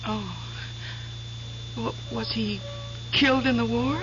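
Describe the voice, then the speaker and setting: An actress playing an anxious, tender middle-aged wife in an old-time radio drama, speaking hesitantly and repeating words. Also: female voice, fairly high pitch, slow. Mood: anxious, puzzled. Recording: dull lo-fi radio drama recording.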